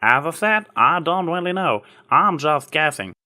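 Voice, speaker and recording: Man voicing a folksy farm-girl pony, raised pitch. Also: big pitch swings, measured pace, sharp tone, exaggerated voice. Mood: thoughtful, reassuring. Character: warm, earnest, protective farm-girl pony, plain-spoken country mare.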